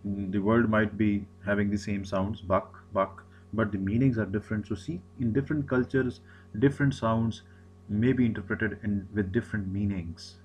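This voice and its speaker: A man's voice: lowish voice, steady tone, moderate pace, darkish tone, slight background noise, poor audio. A man, an Urdu speaker, giving a recorded lecture, often repeating himself and restarting phrases. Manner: repetitive, many restarts and fillers.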